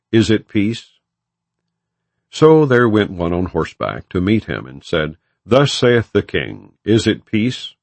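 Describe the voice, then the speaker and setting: Male audiobook narrator, low pitch, expressive intonation, solemn scripture reading, measured phrasing. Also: dry recording.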